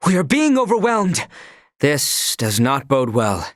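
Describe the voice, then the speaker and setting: A man's voice: mid-range voice, huge pitch swings, unhurried, dry recording, studio quality. A man voicing a dutiful, stern young attendant to royalty in a video game, speaking in formal, archaic phrasing. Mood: urgent, grave. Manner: formal, archaic, courtly diction. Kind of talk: video game character voice lines.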